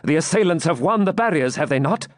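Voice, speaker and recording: A man's voice: fairly high pitch, expressive, fast, dry recording, clean studio sound, pitched above the performer's own voice, lightly acted. A man playing a wounded medieval knight, proud and impatient, with declamatory, insistent, archaic chivalric speech. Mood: urgent.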